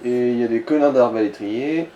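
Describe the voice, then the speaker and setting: A man's voice: mid-range voice, a little fast, warm tone, echoey room, muffled recording, slight background noise. A man giving casual, coarse and slangy gaming commentary as he guides another player. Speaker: let's play co-host guiding the player.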